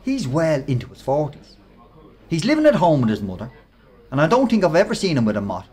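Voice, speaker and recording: An actor playing a bullying, mocking Irish workmate with a Dublin accent and a rough voice in a radio play. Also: male voice, fairly high pitch, huge pitch swings, fairly quick, darkish tone, heavily acted. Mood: sneering, insinuating. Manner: mocking, insinuating, aggressive. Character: bullying, sneering male workmate, quick to threaten.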